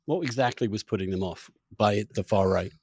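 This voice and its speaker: Male podcast host, fairly low voice, dry close sound, thoughtful conversational questioning with searching rephrasing. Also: a little fast, darkish tone, husky, no echo. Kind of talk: conversational interview, thoughtful commentary.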